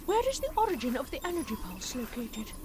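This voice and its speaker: A woman playing a determined, protective investigator in an audio drama, brisk and emotive. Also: high voice, wildly swooping pitch, crowd noise. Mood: brisk, concerned. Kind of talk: fan audio drama acting.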